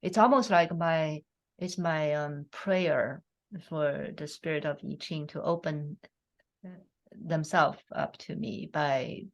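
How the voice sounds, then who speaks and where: A woman from China whose first language is Chinese, hosting a reflective conversation, speaking slowly and haltingly with many fillers. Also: low voice, lo-fi sound. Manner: slow, halting, soft-spoken with frequent fillers and laughs. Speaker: host.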